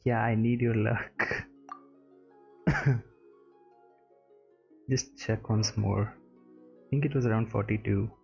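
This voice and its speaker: A man giving casual, low-key reaction commentary as he streams a game, muttering in short remarks. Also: steady tone, takes it slowly, vocal fry, long pauses, darkish tone, lo-fi sound. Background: game music.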